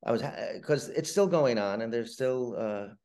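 An older man talking casually as an interview guest, wry and halting, often repeating words as he starts a phrase. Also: mid-range voice, lively intonation, a little mellow. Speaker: writer and showrunner, interview guest.

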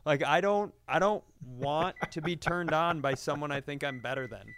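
Smooth, dry-sounding man riffing comically. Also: fairly high pitch, moderate pace, fairly bright tone, no room sound. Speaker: comedy podcast co-host.

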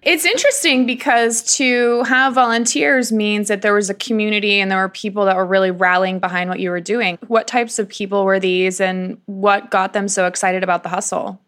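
A woman with a bright voice of middling pitch co-hosts an interview. Her delivery is conversational and casual. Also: steady tone, a little fast, few pauses. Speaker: interview co-host.

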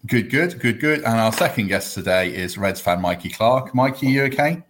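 A man's voice: mid-range voice, animated, moderate pace, fairly bright tone, a little hiss or hum. A man hosting a football podcast from home, chatting casually with friendly, self-deprecating asides. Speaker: podcast host.

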